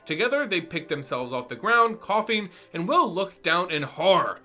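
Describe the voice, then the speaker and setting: A man giving an animated, expressive dramatic reading of a fantasy story as narrator. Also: high voice, moderate pace, soft background music. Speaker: narrator and reader.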